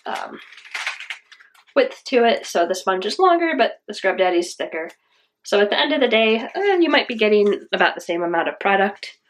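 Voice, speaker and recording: Woman host with a stuffy cold, chatty and rambling in a home kitchen, faint background hiss. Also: mid-range voice. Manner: chatty, rambling, frequent restarts. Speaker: cleaning video host. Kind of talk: casual product test vlog.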